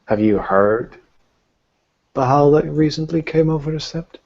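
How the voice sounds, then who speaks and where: Man playing a slow, grave female werewolf elder. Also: on the high side, gravelly, darkish tone, lo-fi sound, voice pushed up. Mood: sorrowful, pressing. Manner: halting, earnest, emphatic.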